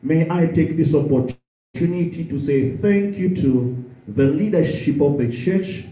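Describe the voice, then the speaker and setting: Slow, earnest preacher in echoey hall. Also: male voice, a little high, dark tone, distant mic, muffled recording. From Zambia. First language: Tonga. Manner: slow, earnest, repeats key phrases. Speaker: preacher, theology student. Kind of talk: sermon. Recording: echoey room.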